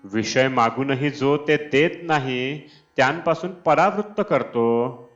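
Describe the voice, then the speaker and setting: A man reads a devotional discourse slowly and deliberately, at a medium pitch. The recording is dull and lo-fi, with Indian classical instrumental music playing behind his voice. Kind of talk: scripted devotional discourse reading.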